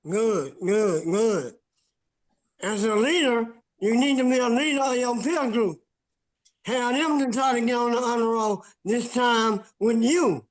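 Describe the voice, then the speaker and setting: A man's voice: very high voice, measured pace, poor audio. A man giving encouraging, instructive advice in conversation, repeating points for emphasis.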